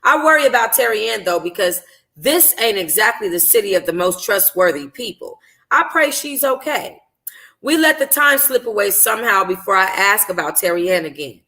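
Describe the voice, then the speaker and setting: A woman hosting a book club, reading and chatting informally, sounding tired and slightly hoarse. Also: medium pitch, lively intonation, normal speed, sharp tone. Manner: informal, conversational. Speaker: book club host reading a novel aloud. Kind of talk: expressive audiobook-style reading and casual livestream chat.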